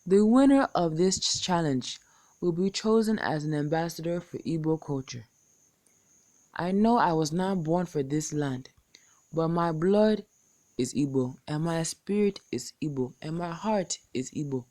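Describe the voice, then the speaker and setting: A young American woman reciting a poem and making a slow, deliberate appeal as a contest entrant. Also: low voice, lively intonation, warm tone. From United States. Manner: slow, deliberate, measured phrasing. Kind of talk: recorded poetry recital and appeal.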